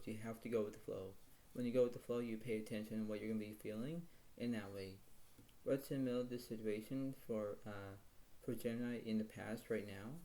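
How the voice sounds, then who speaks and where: A man reading tarot cards in a relaxed, meandering way, keeping his voice low and soft throughout. His pitch sits in an ordinary middle range. A faint hiss runs under him. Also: rough recording. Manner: rambling and repetitive, circling back to stock phrases; talks quietly, apologizes for his low voice. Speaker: tarot card reader. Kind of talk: casual spoken tarot reading.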